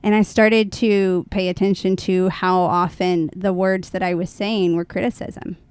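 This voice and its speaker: A woman co-hosting a podcast, talking in a thoughtful, self-reflective and conversational way, with hesitant pauses. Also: mid-range voice, level tone, darkish tone, clean tone, dry recording. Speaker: podcast co-host.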